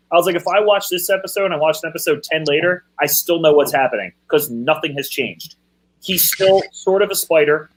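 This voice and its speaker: A man's voice: on the high side, low-quality recording. A man co-hosting a casual podcast, ranting in an exasperated way. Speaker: podcast co-host. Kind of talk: casual chat.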